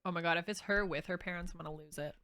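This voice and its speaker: A woman's voice: on the low side, steady tone, rapid-fire, pushed and bright. A young woman streamer giving casual, gushing and empathetic reactions to a game in informal speech. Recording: game music underneath.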